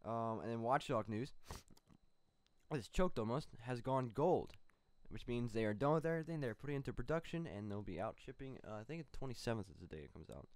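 A man's voice: mid-range voice, animated, fairly quick, no echo. A young man co-hosting a casual gaming news show from a small home studio, rambling through the stories with plenty of fillers. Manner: rambling, loose delivery with many fillers. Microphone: studio mic on a boom arm.

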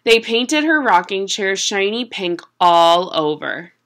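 A young adult woman reading a children's picture book aloud at home in an expressive, deliberate storytelling manner. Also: medium pitch. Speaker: storybook reader. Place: small room at home.

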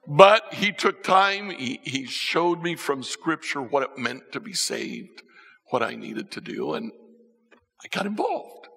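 An older man preaching from a church stage, his voice gravelly and slightly husky with a bright edge. He talks unhurriedly, and his pitch rises and falls as he tells his stories. The sound carries a long reverb from the hall. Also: expressive, slow. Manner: storytelling, swings between soft and emphatic. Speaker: pastor. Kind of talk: sermon. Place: church stage with band instruments.

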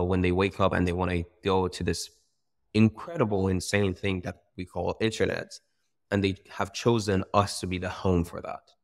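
A young man from Iran, a startup founder talking casually on a video call, animated, with many fillers and restarts. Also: deep voice. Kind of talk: casual interview. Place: bright room by a window.

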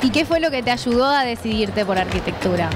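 A woman's voice: fairly high pitch, lively intonation, a little fast. A young Argentine woman hosting a stream, asking questions in a casual way. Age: young adult. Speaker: stream host. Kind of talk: casual interviewing. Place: large indoor fair hall. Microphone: handheld mic. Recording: background music at busy fair.

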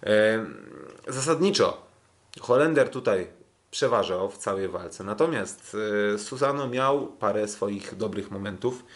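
A man hosts a sports podcast. He speaks casually and haltingly, often doubling back on words as he recaps. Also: fairly low pitch, expressive. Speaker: podcast host.

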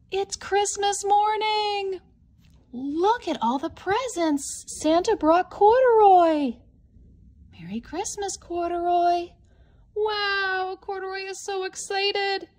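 A woman reading a picture book aloud to young children, explaining it simply in an expressive, questioning, child-directed manner. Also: very high voice. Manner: expressive, questioning, with gasps and hushed asides; deliberate, child-directed phrasing. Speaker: storybook reader for young children.

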